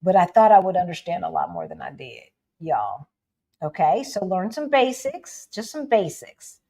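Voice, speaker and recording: A woman's voice: on the low side, normal speed, creaky. A woman from the United States co-hosting a livestream, talking casually and with energy, laughing often and calling her viewers "y'all". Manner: animated, laughing, addresses audience as y'all. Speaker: livestream co-host.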